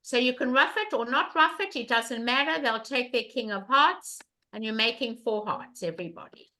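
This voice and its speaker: An older woman with a loud voice teaching an online bridge class, emphatic and repeating questions to her students over a webcam. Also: a little high, lively intonation, moderate pace, low-quality recording.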